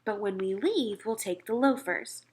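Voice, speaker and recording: A woman's voice: medium pitch, a little slow, lightly acted. A woman voicing a no-nonsense storybook mother who talks firmly and coaxingly, as if reasoning with a child. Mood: persuading. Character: no-nonsense mother of two young boys, firm, coaxing.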